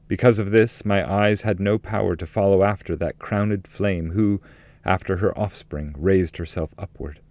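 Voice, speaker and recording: A man reading epic poetry as a narrator, solemn, with measured and deliberate phrasing. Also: low voice, darkish tone, no echo.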